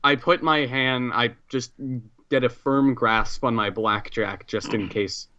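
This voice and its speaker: A man with a bright, crisp voice of middling pitch joins a tabletop game. He is wry and jokey in casual chat, and the sound is a little dull. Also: steady tone, unhurried.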